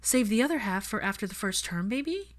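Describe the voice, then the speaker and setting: A woman's voice: medium pitch, animated, a little fast, voice pushed up, lightly acted. A woman voicing an earnest, busy-minded young woman in an audiobook, eagerly explaining herself. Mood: sheepish.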